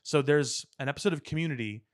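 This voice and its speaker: A man's voice: fairly high pitch, quick pace. Man chatting casually on a podcast. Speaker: podcast co-host. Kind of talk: casual pop culture chat. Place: podcast studio.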